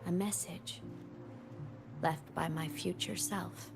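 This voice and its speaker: A woman's voice: on the low side, steady tone, slow pace, creaky, background noise. A woman voicing a young video game heroine with a theatrical, dramatic delivery and wounded bravado. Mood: brooding, dramatic. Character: young heroine, vengeful toward her foe, wounded bravado.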